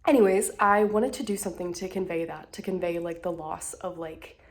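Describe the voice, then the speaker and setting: A woman's voice: lowish voice, steady tone, a little fast. Congested, hesitant young woman vlogging. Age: 20s. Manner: hesitant, lots of fillers and restarts, self-deprecating. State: congested, slightly out of breath. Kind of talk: rambling casual vlog. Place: at home indoors. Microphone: phone held at arm's length.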